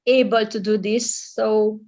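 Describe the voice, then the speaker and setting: A woman's voice: medium pitch, steady tone, slow pace, darkish tone. A middle-aged woman from Romania, a librarian and English tutor, speaking as a guest in a casual video-call interview, hesitant with many fillers and restarts. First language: Hungarian. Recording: muffled lo-fi audio.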